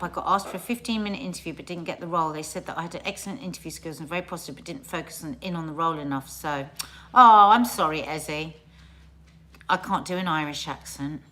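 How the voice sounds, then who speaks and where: Woman, middle-aged, livestream host, chatty, restarts sentences, slightly low voice. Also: bright, forceful tone. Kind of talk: casual livestream chat.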